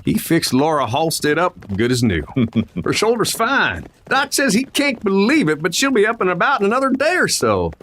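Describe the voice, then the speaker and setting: A man playing an excitable, folksy Old West sheriff with an in a radio play. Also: sits high, normal speed, a little hiss or hum, full character voice. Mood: folksy, eager. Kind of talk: western radio play acting.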